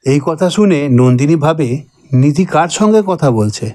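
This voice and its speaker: A man reading a scripted cartoon ghost story, with deliberate, drawn-out phrasing. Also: on the high side, lively intonation, warm tone, dry recording. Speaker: story narrator voicing old friends. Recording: dry voice over background music. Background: music.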